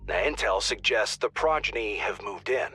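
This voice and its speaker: Wry male support officer on comms, bright tone, game score low. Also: expressive, moderate pace, slightly rough, full character voice. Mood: informal. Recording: game audio with faint music.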